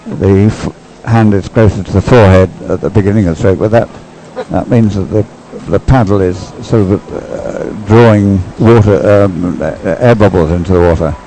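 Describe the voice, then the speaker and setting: A man's voice: sits low, warm tone, a little hiss or hum, low-quality recording. An older man giving conversational live race commentary, drifting into rambling explanatory asides. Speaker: sports commentator and race umpire.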